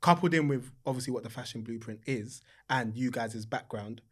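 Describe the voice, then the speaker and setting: A man's voice: mid-range voice, moderate pace. A man hosting a podcast, chatting casually in a laid-back, slangy way. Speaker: podcast host.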